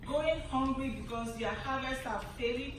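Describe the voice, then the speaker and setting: A woman's voice: high voice, moderate pace. A young woman from Cameroon, giving a formal prepared address at a conference in an earnest, emphatic way, often repeating phrases. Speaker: conference speaker. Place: large conference hall. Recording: echoey hall, distant mic, background noise.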